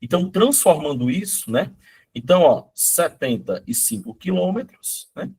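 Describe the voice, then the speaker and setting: A middle-aged man, hoarse from a cold, teaching a live online math exam-prep class in a chatty, coaxing way, often checking in with his students. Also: high voice, very animated, normal speed, darkish tone. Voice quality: husky.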